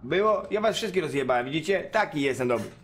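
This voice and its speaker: A man's voice: a little high, lively intonation, quick pace, a little hiss or hum. A man doing casual livestream commentary on a game, swearing coarsely and talking back at it and his viewers. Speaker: game streamer.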